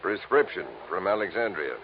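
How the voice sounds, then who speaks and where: A noisy, thin vintage radio recording carries a lowish-voiced American police lieutenant. He is gruff and businesslike, a no-nonsense cop from a mid-century crime serial. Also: animated, normal speed, lo-fi sound. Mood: matter-of-fact. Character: gruff, matter-of-fact New York police lieutenant. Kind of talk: 1940s-50s American radio crime drama acting.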